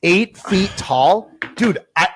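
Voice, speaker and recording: A man co-hosting a casual comedy podcast, telling stories in an animated, jokey way. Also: high voice, takes it slowly, a little hiss or hum. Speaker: podcast co-host.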